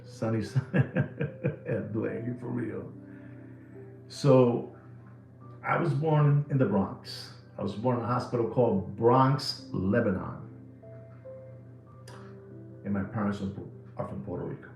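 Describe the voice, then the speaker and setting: A man's voice: fairly low pitch, slow, vocal fry, long pauses, echoey room, soft background music, low-quality recording. A man, hosting a live watch podcast in a rambling, jokey way full of slang. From New York City. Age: 60s. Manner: animated, jokey storytelling with slang.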